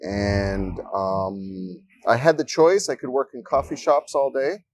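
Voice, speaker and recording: A man from Ecuador speaking as a guest in a casual interview in a coworking space, relaxed and joking as he tells stories. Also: medium pitch, slow. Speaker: guest, freelancer.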